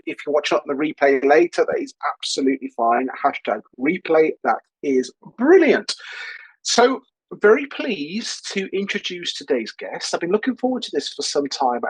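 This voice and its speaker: A man's voice: a little high, slightly rough, dry recording, poor audio. A man hosting a live webinar as an online business coach, upbeat and chatty, from a cold home office over a video call.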